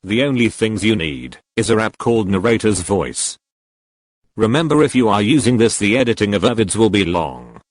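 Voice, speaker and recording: A man's voice: fairly low pitch, very animated, normal speed, dry recording. Robotic male TTS host for a tutorial, stiff delivery, very dry sound. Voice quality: synthetic, robotic text-to-speech. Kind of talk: scripted tutorial narration.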